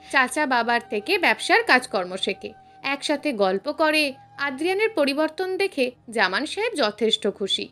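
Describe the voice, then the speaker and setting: Female narrator, high-pitched and very lively, reading romantic serial fiction over music. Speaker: story narrator.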